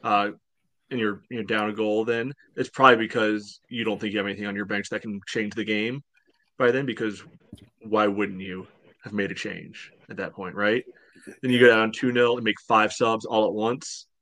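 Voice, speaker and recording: A man's voice: on the low side, steady tone, moderate pace, fairly bright tone, no room sound. A man co-hosting a sports podcast, giving casual soccer analysis in a blunt, argumentative, dry manner.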